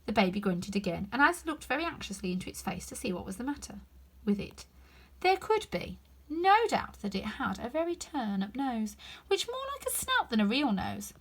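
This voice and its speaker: A woman reading a children's story aloud as narrator, in an expressive, emphatic way. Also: a little high, moderate pace, husky. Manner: animated, emphatic. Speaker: storybook reader.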